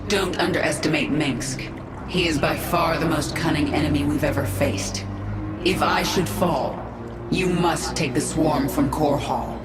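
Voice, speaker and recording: A woman's voice: low-pitched, steady tone, unhurried, echoey room, loud music behind the voice. An actress playing a vengeful, commanding alien swarm queen in a video game, speaking with grave authority. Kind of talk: dramatic video game acting.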